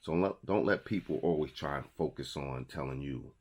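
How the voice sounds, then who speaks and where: Very deep-voiced New York man. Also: moderate pace, darkish tone, slightly rough. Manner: conversational, emphatic, repeats key phrases. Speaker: host giving a weekly motivational talk. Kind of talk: motivational talk to camera. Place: small indoor studio with a logo wall.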